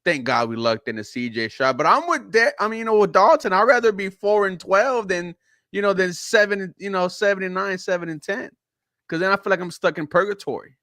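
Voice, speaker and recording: Male sports panelist, forceful bright tone, very dry sound, casual emphatic debater. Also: high voice, lively intonation, brisk, no room sound.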